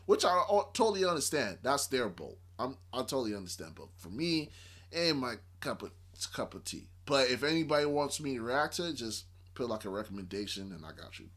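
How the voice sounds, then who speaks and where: A bright-voiced man hosting a reaction video in a casual register. His pitch moves around a lot as he hesitates, circles back and repeats himself while giving his opinion. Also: sing-song, fairly quick. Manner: hesitant, repetitive, rambling. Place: small room indoors.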